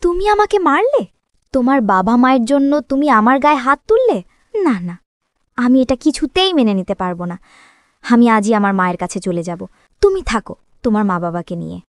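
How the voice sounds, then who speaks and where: Cartoon casting: a woman as a selfish, sharp-tongued young wife, high voice, scolding, dry close recording. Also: big pitch swings, fairly quick, dry recording. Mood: hurt, angry. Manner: scolding, complaining. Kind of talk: dramatized cartoon voice acting.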